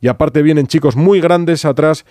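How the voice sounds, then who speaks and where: A man's voice: a little high, normal speed, mellow, dry recording, clean studio sound. A man with an accent from Spain, hosting a conversational sports radio interview. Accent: Spanish from Spain. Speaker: radio host. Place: radio studio.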